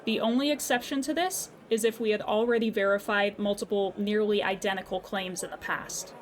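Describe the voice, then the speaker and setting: A woman's voice: medium pitch, fairly even intonation, brisk, natural voice. A woman playing a polite, unflappable customer service agent in an audio drama, speaking formally and patiently. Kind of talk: audio drama phone dialogue.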